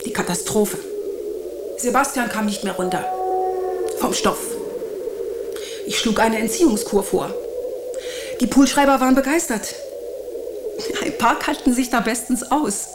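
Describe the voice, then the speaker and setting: A woman's voice: fairly high pitch, a little slow, pauses often, lightly acted. A woman playing a dramatic, evasive television actress under suspicion in a German radio drama, speaking in an emphatic, theatrical way. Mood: wistful. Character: dramatic, evasive TV actress suspected of blackmail and murder. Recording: noisy ambience behind the voice.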